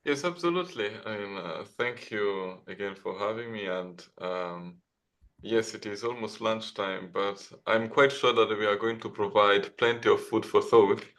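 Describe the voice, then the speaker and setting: Male guest author. Lowish voice with heavy fry, conversational, hesitant, wandering answers. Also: moderate pace, fairly bright tone. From Italy. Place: online video call.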